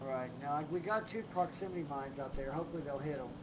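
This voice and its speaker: A man in a casual gaming session, calling out to teammates and exclaiming often in an excitable way. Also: on the high side, fast. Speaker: gamer on a group live stream. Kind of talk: casual gaming chat and callouts. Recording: noisy, with game gunfire and vehicles.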